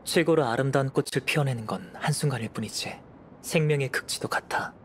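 An actor playing a pensive young alchemist scholar in an anime game, measured and soft-spoken. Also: male voice, mid-range voice, slight background noise, close to an everyday voice. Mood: contemplative. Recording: clean studio voice over background music.

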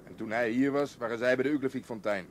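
Grumbly policeman guy acting, old radio play, clipped n dry, normal pitch, faint noise. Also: steady tone, fast, lightly acted. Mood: dry, grumbling. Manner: clipped, matter-of-fact.

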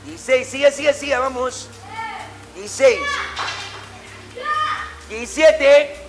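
High-pitched man barking drill orders. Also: steady tone, measured pace, over music. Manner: commanding, barking short repeated orders. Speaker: martial arts instructor. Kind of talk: calling out drills and corrections in a class. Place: indoor martial arts gym with matted floor.

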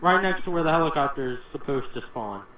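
Goofy high-voiced young man gaming. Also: expressive, quick pace. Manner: goofy, boastful, playful. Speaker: gameplay co-commentator. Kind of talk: casual gameplay chat. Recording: distant roomy mic with loud game noise.

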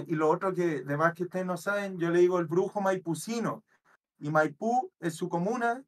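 Young Chilean man explaining, high voice. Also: fairly bright tone. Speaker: battle rapper explaining his bars. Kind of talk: casual explanation. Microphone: webcam on a video call.

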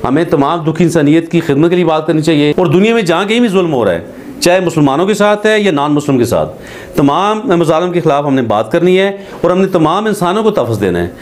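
A middle-aged man with a Pakistani Urdu accent speaks in a voice pitched slightly high for a man. He delivers studio commentary to camera in an earnest, measured way, stopping often on hesitant fillers. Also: darkish tone. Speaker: advocate commentator. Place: studio with green screen backdrop.

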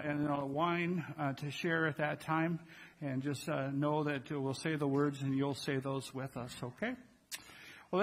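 A man's voice: a little high, slight background noise. An older man with an American accent speaking as a pastor, leading a church service and addressing the congregation. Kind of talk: church announcements and liturgy to a congregation. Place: large church sanctuary with congregation.

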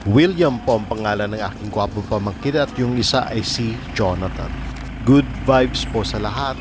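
A man's voice: on the low side, normal speed. Filipino man vlogging over street noise. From Philippines. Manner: polite, switching between Tagalog and English. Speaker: travel vlogger. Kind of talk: casual travel narration.

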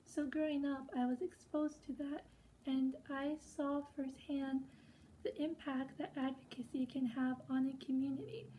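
Young woman, high mellow voice, sincere testimonial, faint hiss behind. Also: steady tone, measured pace, rough recording. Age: young adult. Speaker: young patient advocate. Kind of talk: testimonial to camera. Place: indoors in front of a plain wall.

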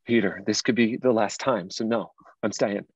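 An actor in a dramatic script reading, playing a well-to-do man in his late thirties, a hurt and accusing ex-lover, with a husky voice. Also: fairly low pitch, normal speed, no room sound, lightly acted. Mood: earnest. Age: 30s. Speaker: actor at a table read. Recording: dull, lo-fi but dry recording.

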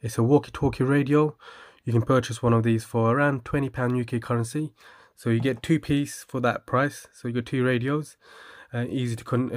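A man casually demonstrating and reviewing a product, speaking haltingly as he lists features and repeats himself. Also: mid-range voice, no room sound, studio quality.

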